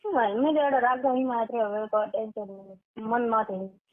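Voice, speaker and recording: A woman's voice: on the high side, fairly even intonation, fairly quick. A woman with a Marwari accent from western Rajasthan chatting casually on a private phone call. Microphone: telephone line. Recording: tinny phone call recording.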